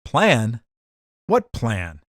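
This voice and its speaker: A man playing a slow-witted, indignant husband caught among gangsters in a comedic audio drama, whiny and bewildered. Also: mid-range voice, huge pitch swings, a bit bright, dry recording, studio quality, full character voice.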